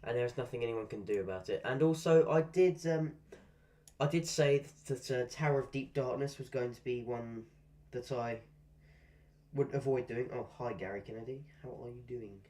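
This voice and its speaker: A man's voice: medium pitch, normal speed, dark tone. A man chatting casually while live-streaming a game, rambling through asides and swearing at the game now and then. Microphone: desk microphone.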